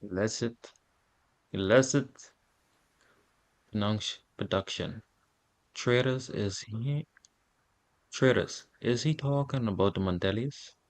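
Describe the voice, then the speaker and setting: A man's voice: on the low side, slow pace, pauses often. A man giving casual gameplay reactions as a streamer, in slangy asides, often repeating words. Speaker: gaming streamer.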